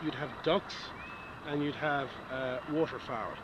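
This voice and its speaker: A man's voice: mid-range voice, measured pace. A middle-aged man, a company director explaining things on an outdoor tour in a hesitant, patient way. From Ireland. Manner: hesitant, explains with fillers and restarts. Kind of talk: casual explanatory tour talk. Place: outdoors by a reed-bed pond. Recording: dull outdoor camera audio with noise.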